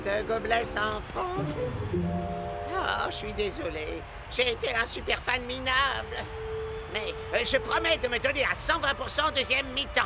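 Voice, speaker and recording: A man playing an excitable, bumbling young sports superfan and would-be detective in a French cartoon dub. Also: very high-pitched, unhurried, creaky, exaggerated voice. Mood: sheepish. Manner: animated, exclaiming, theatrical. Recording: loud music bed under the voice.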